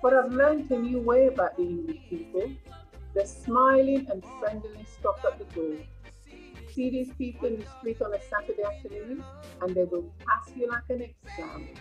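An older woman with a Caribbean accent reading the part of a reflective, homesick migrant nurse in a play, over a video call. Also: on the high side. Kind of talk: rehearsed play reading, monologue. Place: indoor room at home, video call. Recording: muffled video-call audio.

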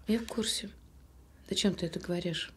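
An actress playing a hurt, sarcastic woman doubting her partner, with a husky voice and a wry, pointed delivery in a naturalistic audio drama. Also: lowish voice, fairly quick, in a whisper.

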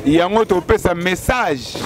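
A man's voice: high voice, expressive, measured pace. A man in his forties, interviewed on an outdoor market street, speaking emphatically and with enthusiasm into a handheld mic held close. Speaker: resident interviewee. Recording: crowd noise outdoors.

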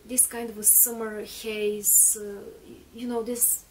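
A woman's voice: on the high side, flat delivery, measured pace, a little mellow, recorded from a distance, slight background noise, poor audio. A woman in her thirties, a native Bulgarian speaker, chatting through a makeup tutorial in a small bedroom with lots of fillers and restarts. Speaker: beauty vlogger.

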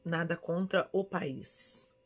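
A woman in her forties from São Paulo with a Brazilian accent, chatting casually and affectionately as she answers viewers' questions in an expressive, conversational way. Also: fairly low pitch, slow pace. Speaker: vlogger. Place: small indoor room at home.

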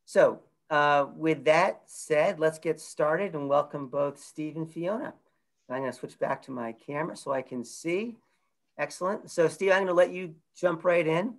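A man in his fifties hosts a webinar from a room at home over a video call. His voice sits fairly high for a man, and he talks loosely, filling gaps with many ums and uhs. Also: moderate pace. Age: 50s. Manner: loose, filler-heavy delivery. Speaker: event moderator. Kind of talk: webinar introduction.

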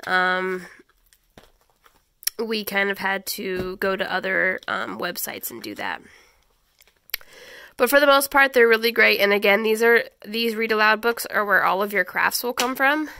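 A stuffy, congested woman in her thirties talks through a stack of books in a small home schoolroom, chatty and peppered with ums. Her voice is bright and crisp, with a slight gravelly fry. The close camera mic gives a dry, near sound. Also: medium pitch, expressive, a little slow, long pauses. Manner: chatty, many ums and restarts. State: stuffy from a head cold. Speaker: homeschool channel host. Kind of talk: casual book review walkthrough.

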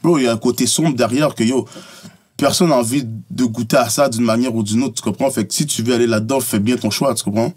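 A man's voice: mid-range voice, steady tone, fast, a little mellow, slightly rough. A man from Montreal with a Quebec French accent, talking bluntly and emphatically as a rapper guest in a studio podcast, often adding tu comprends and bro. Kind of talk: casual podcast conversation.

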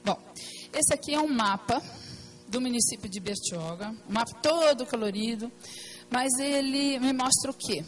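A woman speaking, her voice hoarse and worn. She presents to a council meeting in a fairly high register, hesitant and filled with restarts. Faint music sits beneath her. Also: moderate pace, poor audio. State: hoarse, partly lost voice. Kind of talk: technical presentation with slides. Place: meeting room at a plenary session.

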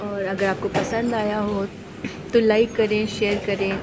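A woman's voice: medium pitch, flat delivery, unhurried. A woman with a Pakistani Urdu accent, vlogging in a chatty style and speaking straight to her viewers. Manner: chatty, addresses viewers directly. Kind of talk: casual vlog narration. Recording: loud street traffic noise.

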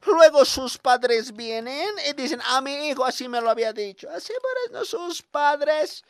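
A young man performing a weary, scolding schoolteacher with a Bolivian accent in a comic radio monologue, halting and repeating himself in exasperation. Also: very high-pitched, animated, no echo. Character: weary, scolding schoolteacher given to rambling lectures.

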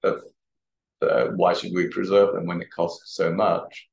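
A man giving an academic talk and answering questions, speaking haltingly and often repeating words. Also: sits low, flat delivery, creaky, darkish tone, muffled recording, a little hiss or hum. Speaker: guest lecturer. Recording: roomy, echoey sound.

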